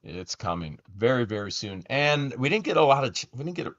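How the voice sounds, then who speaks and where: A man co-hosting a casual commentary vlog, taunting and mocking, his voice swinging up into shouts. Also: mid-range voice, big pitch swings, brisk, highs cut off. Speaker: YouTube channel co-host.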